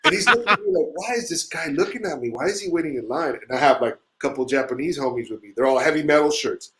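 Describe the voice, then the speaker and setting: A middle-aged man from Chile telling rambling, profanity-laced stories in a casual chat, animated, with frequent asides. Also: a little high, fast. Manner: rambling, swearing, animated storyteller. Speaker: musician telling stories on a show.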